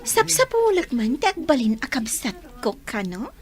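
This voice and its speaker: A woman's voice: high voice, sing-song, moderate pace, darkish tone. A woman playing a catty, scheming teenage schoolgirl in an audio drama, with a sassy, theatrical delivery. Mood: sassy, teasing.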